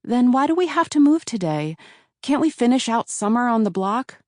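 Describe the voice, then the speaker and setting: An eager little girl pleads in a bright, raised voice, as a woman reads her part. Her lines come out quick and hopeful, lifted well above the low register of the reader around her, and the sound stays dry and close. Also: big pitch swings, no room sound. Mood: pleading.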